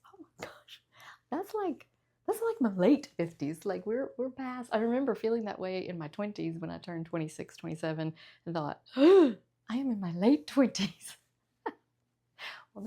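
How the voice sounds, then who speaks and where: A fifty-something woman talks her way through a try-on haul in a chatty, self-deprecating way, laughing often. Her voice sits at a middle pitch with a noticeable gravelly fry. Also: mellow. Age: 50s. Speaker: fashion vlogger. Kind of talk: casual try-on haul chat.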